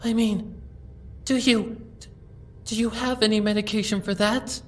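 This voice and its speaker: A woman voicing a timid, sick young female pony who speaks softly and stammers as she pleads. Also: medium pitch, steady tone, a little slow, distant mic, close to an everyday voice. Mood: desperate pleading. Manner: soft, hesitant, stammering, pleading. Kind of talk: fan audiobook reading.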